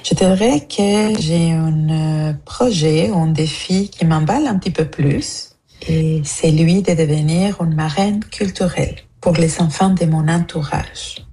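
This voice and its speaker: A woman's voice: sits low, a little slow, warm tone. A woman from Mexico leaving an earnest, prepared personal message as a radio listener.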